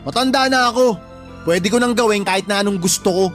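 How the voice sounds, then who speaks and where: A man's voice: sits high, brisk, fairly bright tone, soft background music. A man playing a drunk, defiant young son of the household in a radio drama, sneering, insulting and blaming others. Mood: sneering, irritated. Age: young adult. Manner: sneering, insulting, blame-shifting. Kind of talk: Tagalog radio drama acting.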